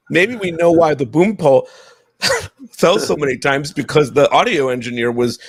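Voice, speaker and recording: A man in his thirties hosting a casual discussion on a video call, often halting and repeating phrases. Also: a little high, expressive. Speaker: podcast host. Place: home room, video call.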